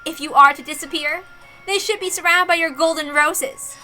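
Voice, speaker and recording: A woman voicing a proud, intense young female detective with theatrical, deliberate delivery in a visual novel reading. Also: very high-pitched, steady tone, pushed and bright, soft background music, voice pushed up, full character voice. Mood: menacing triumph.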